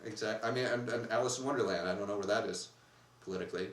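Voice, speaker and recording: A man's voice: on the low side, fast talker. A man co-hosting a casual discussion, rambling and breaking off mid-sentence to restart. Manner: rambling, self-interrupting. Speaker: co-host. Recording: echoey room, distant mic, faint noise.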